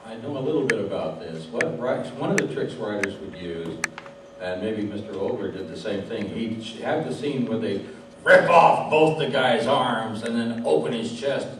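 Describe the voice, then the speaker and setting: A man's voice: on the low side, normal speed. A man hosting an interview, explaining things informally to a live audience with a wry, joking manner. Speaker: interviewer, host. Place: public audience question session in a room. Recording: very echoey room, distant mic, clear noise.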